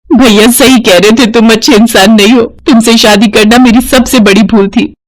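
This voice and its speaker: A woman's voice: a little high, steady tone, moderate pace, heavy background noise, lightly acted. A woman playing a headstrong, wronged young wife and mother in an emotional, melodramatic cartoon story. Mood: angry, reproachful. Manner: emotional, pleading.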